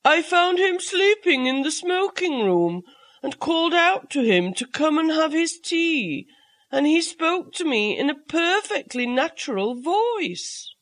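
Woman doing an excitable older gentleman in a storybook, lively and slow. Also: high-pitched, pitched above the performer's own voice, heavily acted. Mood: excited. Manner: breathless, excited. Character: polite, excitable gentleman host.

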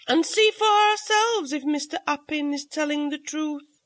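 A woman voicing an excitable society lady hostess who talks in a high, eager, fluttery way. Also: a little slow.

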